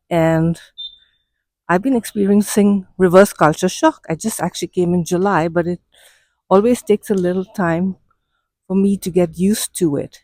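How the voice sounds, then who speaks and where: Slow-spoken woman with Indian accent. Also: on the low side, a little mellow, no room sound. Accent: Indian English. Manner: measured, unhurried explaining. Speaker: vlogger and coach. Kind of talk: walk-and-talk vlog. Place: walking outdoors on city streets.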